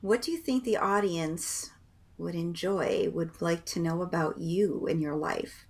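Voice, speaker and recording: A woman's voice: on the low side, a little slow, a little mellow. A woman hosting a podcast, an encouraging interviewer who asks questions and gives short affirmations. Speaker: podcast host.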